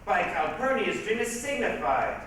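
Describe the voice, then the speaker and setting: A man playing a persuasive, flattering Roman conspirator in deliberate, declamatory stage style. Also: sits high, recorded from a distance. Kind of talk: reader's theatre stage acting. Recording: echoey stage recorded from afar, faint noise.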